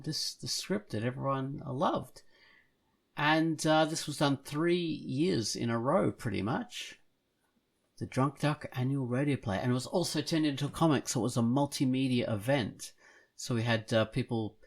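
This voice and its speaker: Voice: mid-range voice, vocal fry. A podcast host of unclear gender chatting casually and effusively, with an enthusiastic manner.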